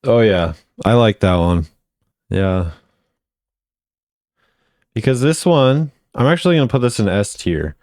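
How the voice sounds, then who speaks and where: A man's voice: lowish voice, expressive, unhurried, pauses often, darkish tone, dry recording, clean studio sound. A man hosting a video, giving casual commentary as he ranks game voice lines.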